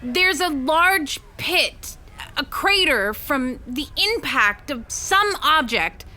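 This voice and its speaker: An actress playing an earnest, astonished American radio field reporter, with urgent delivery in an old-style radio drama. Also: female voice, high-pitched, sing-song, slow pace, bright, forceful tone, heavily acted. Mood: earnest, amazed. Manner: urgent, breathless news delivery.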